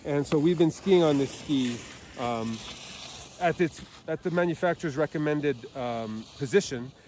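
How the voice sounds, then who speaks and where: Guy talking skis on a snowy slope, kinda high voice, lots of ums. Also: moderate pace, a little mellow, a little hiss or hum. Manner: hesitant, many fillers and restarts. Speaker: ski tester. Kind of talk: casual gear review. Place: outdoors on a snowy mountain.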